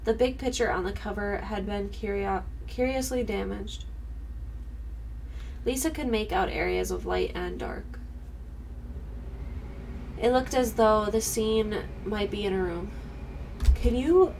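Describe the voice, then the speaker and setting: Rich: A young woman with a stuffed-up, congested voice reads and chats at a middle pitch. She sits a bit back from the mic, so she sounds somewhat distant in the room. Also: unhurried, vocal fry, pauses often, darkish tone, a little hiss or hum. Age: young adult. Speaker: streamer, co-host. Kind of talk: casual reading and chat. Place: home streaming room, indoors.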